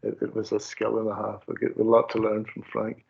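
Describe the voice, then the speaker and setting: Councillor, low flat husky voice, bit gravelly, muffled audio. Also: male voice, fairly quick. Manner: earnest, repeats words. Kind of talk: formal tribute at a council meeting. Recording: dull lo-fi meeting audio.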